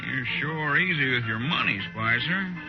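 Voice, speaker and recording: A prying cowboy in an old radio western saloon. His pitch swings widely as he needles with folksy insistence. The sound is dull, like vintage radio. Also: expressive, moderate pace, music in the background. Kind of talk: 1950s radio western acting.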